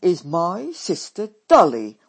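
A woman voicing a brash, loud young woman, with a husky breathy voice. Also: lowish voice, wildly swooping pitch, takes it slowly, full character voice.

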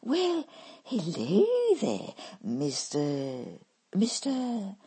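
A woman voicing a young woman who turns from indignant to affectedly posh, husky-voiced. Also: huge pitch swings, unhurried, darkish tone, heavily acted. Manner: shrill, then put-on genteel tones.

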